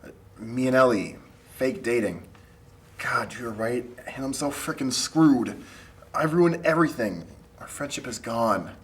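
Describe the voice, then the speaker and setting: A man's voice: medium pitch, expressive, a little slow, lightly acted. A man playing an awkward, lovestruck college student in a table read of a drama script. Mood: distressed. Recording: faint noise, amateur room recording.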